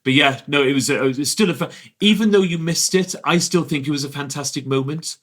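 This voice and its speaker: A man's voice: on the high side, fairly quick, a bit bright. Chatty male podcast host. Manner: eager, uses many fillers and tag questions. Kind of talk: interview questions, casual chat. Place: podcast studio.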